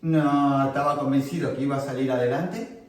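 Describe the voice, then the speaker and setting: A man's voice: medium pitch, normal speed. A man from Argentina with an Argentine accent, giving a friendly, conversational talk to an audience as guest speaker. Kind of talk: informal lecture to an audience. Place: meeting hall. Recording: very echoey hall, distant mic.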